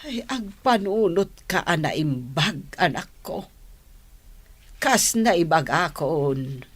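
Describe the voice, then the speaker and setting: A woman's voice: deep voice, lively intonation, slow, slightly rough, heavily acted. A woman acting a worried, loving older mother admonishing her child in an Ilocano Filipino radio drama. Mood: pleading, admonishing.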